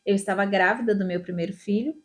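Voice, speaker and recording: A middle-aged woman answers interview questions about her own life. She talks in a lively, chatty way and reels off memories in quick lists. Her voice sits at an ordinary middle pitch. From Salvador, Bahia, Brazil. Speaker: police chief, guest. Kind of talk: interview answers, casual storytelling.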